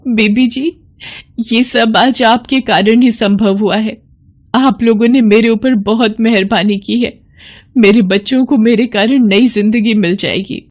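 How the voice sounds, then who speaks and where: A woman's voice: on the high side, fairly even intonation, a little slow, a little mellow, pitched above the performer's own voice. A woman voicing a poor, blind, honest mother in a moral story cartoon, pleading and sincere in an emotional manner. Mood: grateful. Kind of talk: Hindi animated moral story acting.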